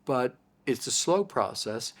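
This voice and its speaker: A man's voice: medium pitch, animated, takes it slowly. Older American male expert guest, explanatory, patient interview delivery. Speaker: audiologist guest.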